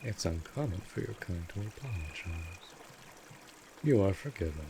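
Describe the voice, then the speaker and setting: A man playing a cold, possessive vampire master in an audio drama, speaking in a formal, commanding, measured way. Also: deep voice, expressive, noisy background. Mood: haughty, measured. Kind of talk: scripted roleplay audio drama.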